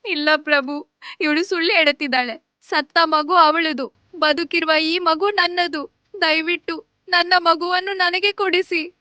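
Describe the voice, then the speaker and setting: Woman playing a frantic young mother, very high voice, bright tone, pleading, cartoon. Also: steady tone, measured pace, dry recording, full character voice. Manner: pleading, tearful. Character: young mother desperate for her baby.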